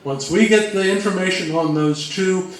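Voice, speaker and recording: Male panelist, fairly high voice, informal answers, recorded from afar with heavy room echo. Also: normal speed. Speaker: panel presenter. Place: indoor event room, recorded from a distance. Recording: very echoey room, distant microphone.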